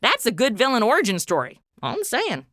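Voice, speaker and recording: A woman's voice: fairly high pitch, huge pitch swings, brisk, a bit bright, dry recording, clean studio sound. A woman playing a brash, sarcastic modern sidekick in a comedy audio drama, with a snarky, casual, slangy delivery. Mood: wry, teasing.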